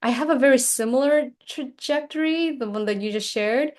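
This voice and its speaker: A woman hosting an interview, born in Korea with Korean as her first language, talking in a lively way and often laughing. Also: high-pitched, moderate pace. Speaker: podcast host, executive coach. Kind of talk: conversational interview.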